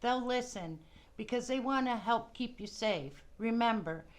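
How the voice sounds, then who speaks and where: A woman presenter giving scripted advice to children in a measured, reassuring manner. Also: fairly high pitch, animated.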